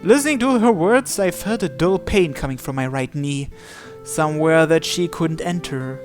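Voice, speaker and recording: A man's voice: fairly high pitch, animated, normal speed. A man reading a visual novel aloud as story narration. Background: game music.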